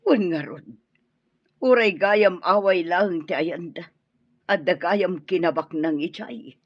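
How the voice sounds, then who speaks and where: A woman playing a needy, scheming middle-aged mother-in-law in an Ilocano radio drama, with a husky voice. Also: lowish voice, slow pace. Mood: gossipy. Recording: dull audio with music underneath.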